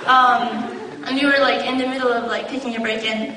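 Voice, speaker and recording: A woman's voice: fairly high pitch, steady tone, fairly quick. A young woman giving a testimony to an audience, rambling with many fillers and quoting her partner. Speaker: conference attendee giving testimony. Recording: echoey hall, long reverb, distant mic, crowd.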